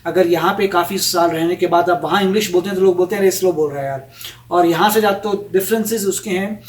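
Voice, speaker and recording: A man co-hosting a reaction vlog, talking in a measured, casual way and stopping to think mid-sentence. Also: high voice. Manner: measured, mixes in English phrases, thinks aloud with fillers. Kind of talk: casual chat. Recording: voice off mic, from a distance.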